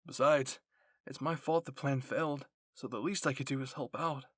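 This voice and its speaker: A man's voice: medium pitch, normal speed, fairly bright tone, slightly rough, no echo. A man voicing an intense, blunt and protective teenage boy soldier in an anime fan fiction roleplay. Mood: defensive.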